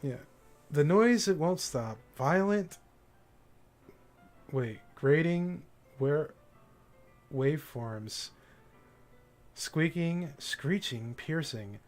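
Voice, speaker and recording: Higher-pitched man streaming a game over music. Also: expressive, drawn-out words, long pauses. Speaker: livestreamer playing a visual novel. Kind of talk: casual playthrough commentary, reading game text aloud.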